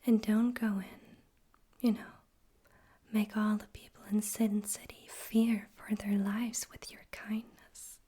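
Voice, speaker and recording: A woman voicing a smug, theatrical male demon overlord in an ASMR roleplay, in a soft breathy whisper with a deliberate, teasing, condescending manner. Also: medium pitch, little pitch movement. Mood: smug, fond.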